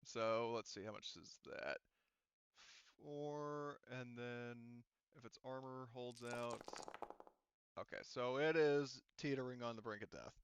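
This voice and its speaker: A man narrating a tabletop roleplaying game as game master in a casual, halting way, thinking aloud as he goes. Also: medium pitch, muffled recording, slight background noise.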